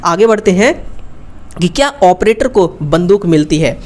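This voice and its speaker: A woman's voice: fairly low pitch, lively intonation, unhurried, darkish tone. A woman giving an informal, explanatory online lesson as an exam-prep teacher, talking colloquially with frequent check-in tags.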